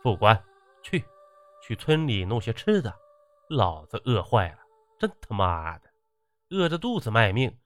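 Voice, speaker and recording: A man's voice: fairly low pitch, very animated, a little slow, long pauses, a bit bright. A man voicing a short-tempered, lecherous battalion commander in an audiobook, swearing and barking his orders. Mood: gruff, commanding. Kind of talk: audiobook character acting.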